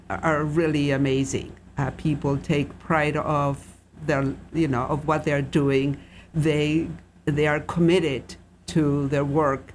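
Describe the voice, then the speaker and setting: A man's voice: a little high, a little hiss or hum. Argentine man with a slightly raised voice. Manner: careful, frequent uh fillers. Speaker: guest. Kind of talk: interview answers.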